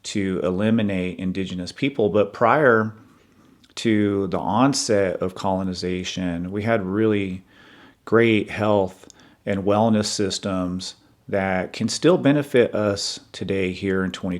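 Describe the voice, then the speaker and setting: A man in his fifties hosting a podcast, talking earnestly and in a measured, conversational way about his own life. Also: on the low side, darkish tone. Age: 50s. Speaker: podcast host. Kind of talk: personal reflective monologue.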